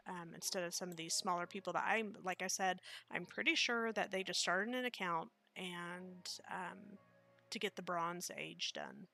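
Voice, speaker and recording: A woman's voice: lowish voice, vocal fry, sharp tone. A woman with a Midwestern accent, chatting casually and rambling with many fillers while streaming a game. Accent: Midwestern American. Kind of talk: casual live-stream commentary.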